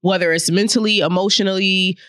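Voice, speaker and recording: A woman's voice: mid-range voice, a little fast, smooth, no echo, clean studio sound. A woman co-hosting a podcast, talking animatedly in casual chat. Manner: animated, voices imagined quotes. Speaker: podcast co-host.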